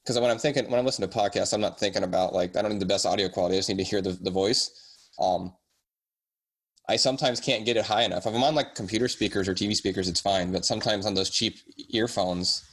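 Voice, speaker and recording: A man with a low voice chats as a guest on a podcast. His delivery is casual and meandering, and he keeps softening and qualifying his own points. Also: fast. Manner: rambling, tentative, self-qualifying.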